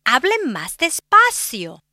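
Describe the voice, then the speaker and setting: A man voicing a polite fifteen-year-old girl visiting a family, in a soft, halting falsetto. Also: wildly swooping pitch, no room sound, clean studio sound, full character voice. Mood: gentle.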